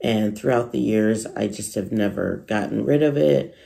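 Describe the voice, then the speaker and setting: A woman's voice: extremely low pitch, moderate pace, creaky, warm tone. A tired woman vlogger who is losing her voice, chatting casually and rambling to her viewers about her resale business. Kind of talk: casual vlog chat to viewers.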